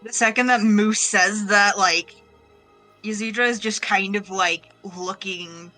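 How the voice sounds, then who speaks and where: A woman's voice: medium pitch, steady tone, unhurried, bright, forceful tone, low-quality recording. A woman playing a tabletop game and chatting casually and playfully with the group. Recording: music under the voice.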